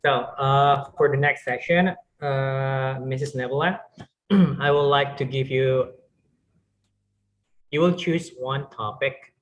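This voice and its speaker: A man interviewing a teacher, asking polite questions slowly with hesitations. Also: mid-range voice, steady tone, a bit bright. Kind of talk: speaking test interview.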